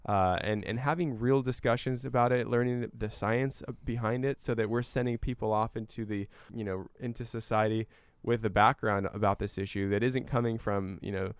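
A man's voice: lowish voice, fairly even intonation, brisk, few pauses, clear, resonant tone, no echo. A man giving earnest, explanatory answers as a guest in a conversational interview. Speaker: climate educator and guest.

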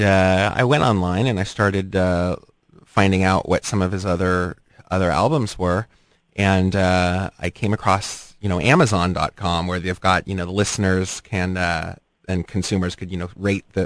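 A man hosting an interview, asking measured, probing questions. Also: deep voice, steady tone, no echo. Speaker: interviewer, host. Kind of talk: radio interview.

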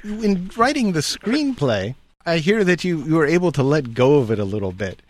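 A man's voice: a little high, big pitch swings, moderate pace, no echo. A man hosting a radio interview, asking easygoing questions with frequent fillers.

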